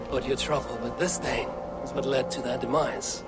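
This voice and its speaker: An actor as a dry, shrewd Norse warrior. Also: male voice, mid-range voice, fairly even intonation, normal speed, vocal fry, loud music behind the voice, close to an everyday voice. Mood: dry, assured. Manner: dry, wry, pointed. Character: Norse warrior leader, shrewd and dryly probing. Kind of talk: video game cutscene acting.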